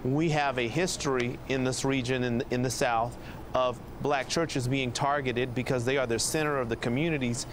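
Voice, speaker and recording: A man, a state representative, speaking earnestly and deliberately in a live interview from outdoors. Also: medium pitch, steady tone, noisy background.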